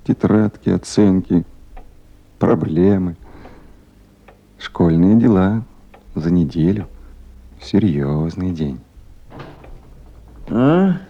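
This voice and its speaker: An actor playing a tactful, deferential duty officer in a radio drama, speaking in a restrained, musing way. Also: male voice, fairly low pitch, animated, very slow, long pauses, dark tone, muffled recording, heavily acted. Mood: musing, subdued. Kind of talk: 1970s Soviet radio drama acting.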